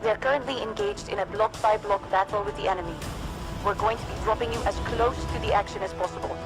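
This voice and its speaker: Actress as composed woman commander over soft music. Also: medium pitch, quick pace, natural voice, rough recording. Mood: calm, commanding. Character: composed resistance leader woman planning missions, calm and commanding. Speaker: mission commander. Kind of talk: video game cutscene acting.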